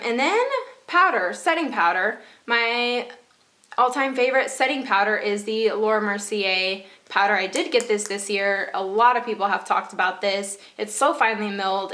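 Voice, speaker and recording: A woman's voice: mid-range voice, sharp tone. A young woman with a stuffy, congested voice chatting casually through her favorite makeup products, with lots of fillers and repeated praise. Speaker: beauty vlogger.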